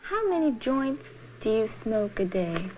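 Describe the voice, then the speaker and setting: A woman's voice: fairly high pitch, big pitch swings, measured pace, muffled recording, over music. A woman interviewer asking plain, direct questions in a neutral manner for a documentary. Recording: 1970s film interview, faint noise.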